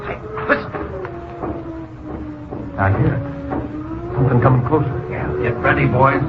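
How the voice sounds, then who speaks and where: An actor playing a brisk, jovial Border Patrol chief in an old-time radio drama. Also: male voice, mid-range voice, close to an everyday voice. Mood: alert. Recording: muffled, hissy old radio recording.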